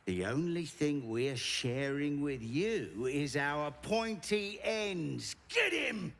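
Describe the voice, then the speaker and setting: A man playing a menacing, gloating raider creature in a game, with a taunting, forceful delivery. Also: a little high, very animated, takes it slowly, vocal fry, full character voice. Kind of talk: scripted game cutscene acting.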